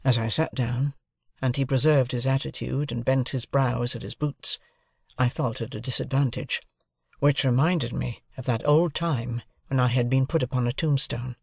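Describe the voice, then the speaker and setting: A man's voice: mid-range voice, steady tone, normal speed, vocal fry, a little mellow, husky, no echo, clean studio sound. A man reading scripted literary narration as an audiobook narrator.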